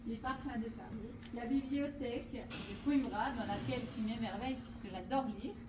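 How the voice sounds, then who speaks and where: A woman's voice: on the high side, moderate pace, noisy background. A woman painter from Portugal guiding visitors through her exhibition, speaking hesitantly with many fillers and restarts. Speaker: painter presenting her artworks. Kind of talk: guided exhibition walkthrough. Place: exhibition hall. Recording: roomy hall, speaker far from the mic.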